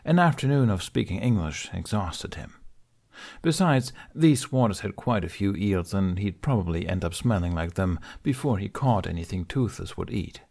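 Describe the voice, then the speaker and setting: A man with a fairly low voice narrates an audiobook. He reads scripted fiction as a storyteller. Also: animated, normal speed, gravelly, a little mellow.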